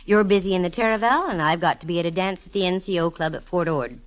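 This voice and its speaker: A woman's voice: lowish voice, animated, fast talker, close to an everyday voice. An actress playing a wisecracking, self-assured female private eye in a vintage radio detective drama, wry and breezy in delivery. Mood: teasing. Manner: wry, breezy, dryly humorous. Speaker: lead actress narrating a detective serial. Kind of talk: 1940s radio detective acting and narration. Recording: vintage radio recording with musical backing.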